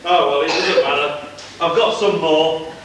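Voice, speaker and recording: A man's voice: fairly high pitch, fairly even intonation, measured pace, noisy background, close to an everyday voice. Bumbling comic burglar, acted by a man, grumbling, amateur stage pantomime, distant microphone, heavy echo. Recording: very echoey hall from far off.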